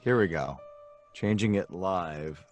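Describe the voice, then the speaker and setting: Man, deep voice, casual guest chat, dry wit, faint music behind. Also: animated, slow, dull audio. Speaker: guest cast member.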